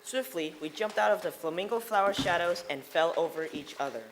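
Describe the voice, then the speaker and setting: Lower-pitched female story narrator. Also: fairly quick, very noisy. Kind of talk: dramatic readers theater narration.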